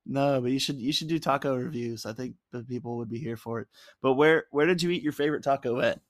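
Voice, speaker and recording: A man's voice: medium pitch, fast, no room sound, clean studio sound. Chatty male interview host. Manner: chatty, laughs often, many fillers. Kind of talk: casual interview chat.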